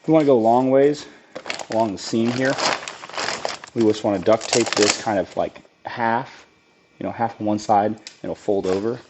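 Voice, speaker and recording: A man with a raspy voice from a cold, casually explaining a do-it-yourself build while he works on it. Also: on the low side, moderate pace, noisy background. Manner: plain-spoken, relaxed, thinks aloud with restarts. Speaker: lawn care business owner demonstrating a DIY build. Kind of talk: casual how-to narration while working.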